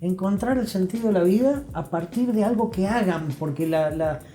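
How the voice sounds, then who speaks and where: Older Argentine man with a mellow voice. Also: high voice, moderate pace. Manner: emphatic, didactic, repeats phrases. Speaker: guest therapist. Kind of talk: reflective interview talk. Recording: roomy echo, faint music.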